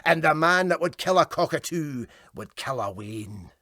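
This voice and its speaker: A man's voice: a little high, lively intonation, normal speed, sharp tone, no room sound, clean studio sound, heavily acted. A man voicing a self-righteous Scottish ship's engineer in a comic story, emphatic and scornful. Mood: scornful amazement. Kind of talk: comic storybook character reading.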